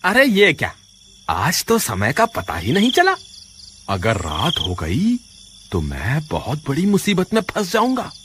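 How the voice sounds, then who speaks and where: A man's voice: on the high side, wildly swooping pitch, measured pace, noisy background. A man playing a jumpy, fretful village woodcutter in a horror cartoon, given to exclaiming. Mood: worried. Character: frightened village woodcutter, a husband, jumpy but stubborn. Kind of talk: Hindi horror cartoon dialogue. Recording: music behind the voice.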